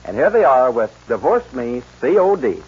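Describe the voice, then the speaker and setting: A man's voice: mid-range voice, very animated, normal speed, a little mellow, soft background music. A man working as a 1940s radio show host, announcing acts in a folksy showman's way on a muffled vintage recording.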